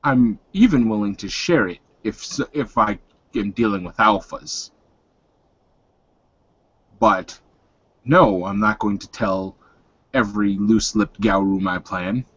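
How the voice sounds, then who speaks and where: Serene, scheming male werewolf leader played by a man, low and deliberate, tabletop game, dry dull recording. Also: a bit bright, dry recording. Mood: firm, calm.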